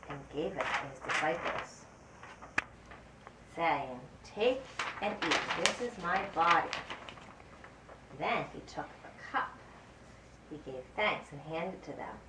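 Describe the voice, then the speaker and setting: Expressive woman narrating, roomy and distant. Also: low voice, takes it slowly, pauses often. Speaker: narrator of a puppet show. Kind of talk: scripted Bible story narration for children's puppet show. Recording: roomy, distant mic, faint background noise.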